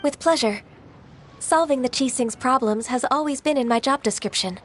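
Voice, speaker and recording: Woman as a high-voiced polite secretary. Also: normal speed, faint music behind, natural voice. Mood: helpful, composed. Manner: polite, formal. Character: gentle, modest, dutiful young female secretary. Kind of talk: video game voice acting.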